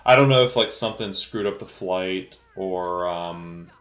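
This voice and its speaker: A man giving casual, unscripted film commentary in a halting way, often repeating his words. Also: deep voice, fairly bright tone. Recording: roomy, echoey sound.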